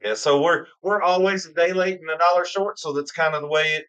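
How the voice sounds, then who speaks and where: Eager man, slightly high voice. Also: fairly even intonation, brisk, fairly bright tone, clean tone. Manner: enthusiastic, rambling storyteller. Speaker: host of a bourbon tasting stream. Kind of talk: casual live-stream banter.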